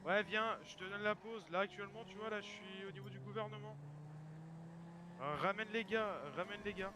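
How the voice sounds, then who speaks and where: High male voice, exasperated and repetitive game roleplayer, over clear car noise. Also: fairly even intonation, normal speed. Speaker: player in online roleplay game. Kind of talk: improvised game roleplay chat.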